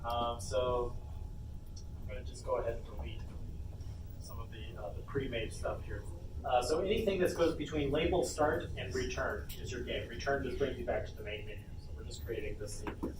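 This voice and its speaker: Distant, echoey man giving a software tutorial. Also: medium pitch, fairly even intonation. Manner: informal, halting narration of own steps. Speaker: workshop presenter, coder. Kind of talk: live software tutorial, thinking aloud. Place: indoor convention panel room. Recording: roomy, distant, noisy panel room recording.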